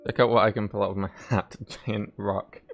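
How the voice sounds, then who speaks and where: A man's voice: lowish voice, little pitch movement, a little fast, vocal fry, faint music behind. A man giving casual playthrough commentary as a gaming streamer, with wry, joking asides.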